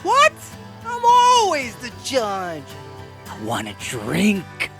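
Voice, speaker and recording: A cocky, boyish young man acted by a man in an audio drama, always protesting. His pitch swings wildly and he stretches his words out. Faint music plays underneath. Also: very slow, heavily acted. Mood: indignant. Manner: excitable, complaining, slangy. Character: cocky whiny youthful-looking Judas, insistent he is a man.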